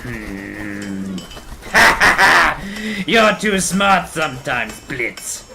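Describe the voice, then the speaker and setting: A man's voice: fairly high pitch, big pitch swings, extremely slow, vocal fry, over loud music, full character voice. A man playing a wary, haggling scrapyard trader in an audio drama, drawing out his words with exclamatory delivery. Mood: won over. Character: gruff-dealing male rebel scrapyard trader, wary haggler.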